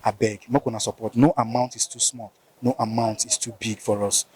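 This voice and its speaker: Nigerian male host, mellow tone, probing field interviewer. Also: lowish voice, level tone, a little fast, husky. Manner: probing, repeats answers back, mixes Pidgin and Igbo. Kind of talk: field interview, casual questions.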